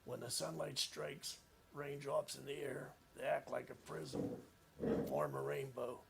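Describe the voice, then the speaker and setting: A man in his seventies, a patient with a weak, raspy, hoarse voice, speaking on an amplified clinic recording. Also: medium pitch, expressive, a little slow, noisy background. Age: 70s. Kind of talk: reading aloud and answering a clinician.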